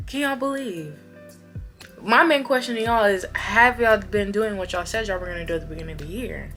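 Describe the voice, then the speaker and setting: A young woman in her twenties talks straight to her viewers in a bright, clear voice. She is chatty and animated, often repeating words for emphasis. Soft music plays faintly underneath. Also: mid-range voice, brisk. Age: 20s. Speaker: vlogger. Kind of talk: casual chat to camera.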